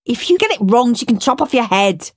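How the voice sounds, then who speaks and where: A woman's voice: fairly high pitch, sing-song, a little fast, no room sound, clean studio sound, voice pushed up. A woman voicing a bossy, scornful, mischievous young boy in an emphatic, taunting way for a children's storybook. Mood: smugly insistent.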